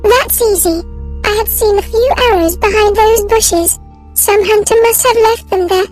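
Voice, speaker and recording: A performer voicing a small, clever, helpful mouse in a children's animal fable, eager and squeaky. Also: normal speed, faint music behind. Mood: confident, planning.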